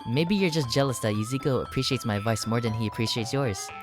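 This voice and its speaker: A woman's voice: rumbling low, fast talker, music in the background. A woman voicing a haughty, prickly young schoolgirl from a visual novel, snappy and defensive. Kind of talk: acted reading of game dialogue.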